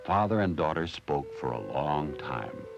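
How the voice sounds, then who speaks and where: A man narrating a fairy tale from a script in a measured, dramatic storyteller's delivery. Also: very deep voice, lively intonation, creaky. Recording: dull old 16mm film soundtrack. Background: music.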